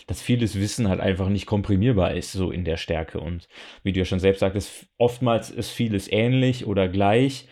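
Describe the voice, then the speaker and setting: A man's voice: low-pitched, moderate pace, darkish tone. A man hosting a podcast interview in casual talk, reflective, speaking in long winding sentences. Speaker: podcast host interviewing a guest.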